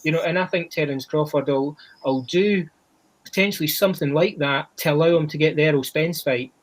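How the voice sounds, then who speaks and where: A man's voice: a little high, brisk. A Scottish man on a boxing podcast, chatting casually with a lot of restarts and "you know"s. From Scotland. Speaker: boxing podcast panelist named Chris. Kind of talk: casual chat.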